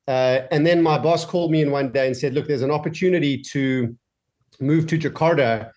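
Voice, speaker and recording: A man's voice: fairly high pitch, fairly quick. Man with a mixed South African-Australian accent. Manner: relaxed, many fillers and restarts. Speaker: guest entrepreneur. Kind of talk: casual interview chat. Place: home office.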